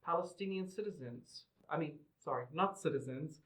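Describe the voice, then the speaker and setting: A middle-aged man from Lebanon and Qatar giving measured, explanatory answers as an interview guest. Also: a little high, fairly bright tone. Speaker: podcast guest, museum representative. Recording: roomy, echoing sound.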